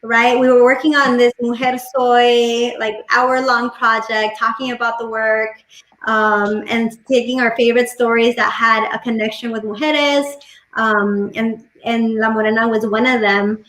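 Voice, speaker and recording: A woman whose first language is Spanish, a musician guest chatting casually on a video call from home, relaxed and full of fillers. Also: on the high side, unhurried, roomy sound. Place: home room. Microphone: laptop webcam mic on video call.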